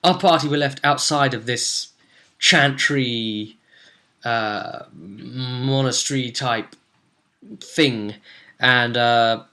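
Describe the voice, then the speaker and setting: A young man giving a casual, halting, animated storytelling recap of his tabletop roleplay campaign to camera. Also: mid-range voice. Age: young adult. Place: small room at home, indoors.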